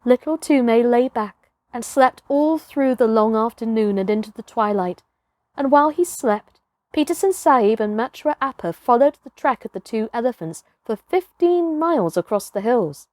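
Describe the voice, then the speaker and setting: A woman's voice: on the high side, lively intonation, moderate pace, a little mellow, dry recording, clean studio sound. A woman reading a children's story as a dramatic narrator, with theatrical, emphatic phrasing.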